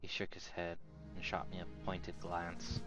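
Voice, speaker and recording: A man's voice: low voice, monotone, normal speed, music in the background, poor audio. A man reading scripted story narration from a visual novel aloud. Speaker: narrator reading translated game text aloud.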